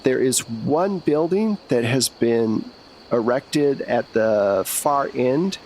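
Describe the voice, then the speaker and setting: A man's voice: mid-range voice, expressive, slow pace, a little mellow, rough recording. Male game master, mid-range voice, informal conversational storytelling for a tabletop game, slight noise behind. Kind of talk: casual tabletop game narration. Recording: faint background noise.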